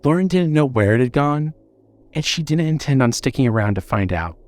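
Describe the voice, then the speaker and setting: A man's voice: medium pitch, lively intonation, brisk, darkish tone. A man narrating scripted horror fiction in a dramatic, suspenseful storytelling manner.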